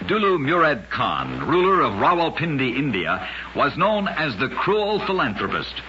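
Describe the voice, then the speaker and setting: A man narrating scripted curious true tales for radio in a measured, dramatic announcer style. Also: on the high side, wildly swooping pitch. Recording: dull lo-fi radio recording, faint noise.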